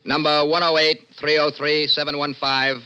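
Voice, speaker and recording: An actor with an American accent playing a cold, formal young lieutenant judge of a dystopian court, in 1960s radio drama style. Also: male voice, on the high side, level tone, moderate pace, bright, forceful tone, clear, resonant tone. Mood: cold, unbending. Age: young adult. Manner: cold, formal, clipped pronouncements. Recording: dull old-time radio recording.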